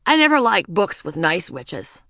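A woman voicing a defiant, emotional little girl from a storybook, loud, insistent and plaintive. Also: on the low side, sing-song, a little slow, vocal fry, no room sound, clean studio sound, exaggerated voice.

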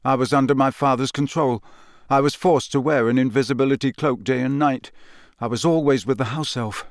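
A man's voice: medium pitch, steady tone, brisk, dry recording, studio quality, natural voice. A man playing a dazed, coldly bitter young male prisoner who confesses in clipped, expressionless sentences. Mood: detached confession.

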